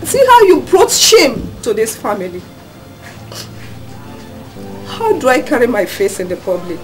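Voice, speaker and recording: A distraught mother, acted by a woman, her high voice swooping as she lectures and laments. Her tone is bitter and reproachful. Faint music plays under her. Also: wildly swooping pitch, a little slow, poor audio. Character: distraught middle-aged mother, scolding and bitter.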